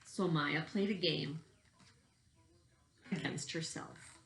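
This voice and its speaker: A woman's voice: low voice, takes it slowly, gravelly, fairly bright tone, slight background noise, low-quality recording. A woman reading a storybook aloud in the manner of a teacher addressing children.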